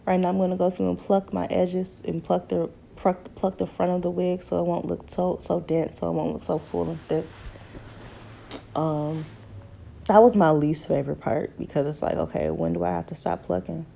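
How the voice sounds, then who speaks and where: A hoarse young woman chats her way through a hair tutorial in a small bedroom, her low voice gravelly and mellow. She talks to her viewers easily, calling them ladies and honey. A faint rumble sits under the camera mic. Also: moderate pace. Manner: chatty, relaxed, addresses viewers as ladies and honey. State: hoarse from a cold. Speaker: beauty vlogger. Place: small bedroom at home, indoors. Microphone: camera mic, seated close in front.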